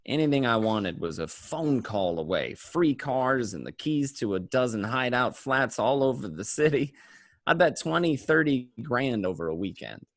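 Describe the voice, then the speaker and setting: A man performs a worn mobster who has turned informant, looking back on the life. He talks like a streetwise guy at a kitchen table, in halting, conversational phrases. The sound is dry, with a middle-pitched voice. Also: expressive, dry recording, close to an everyday voice. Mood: wistful, boastful. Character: weary mobster turned informant, narrating his past. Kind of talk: first-person crime narration, acted table read.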